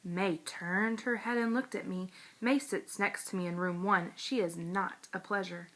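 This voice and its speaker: Bright-voiced woman reading a children's story. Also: mid-range voice, unhurried, low-quality recording. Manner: expressive, childlike, emphatic. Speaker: storybook read-aloud reader. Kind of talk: animated read-aloud of a children's book.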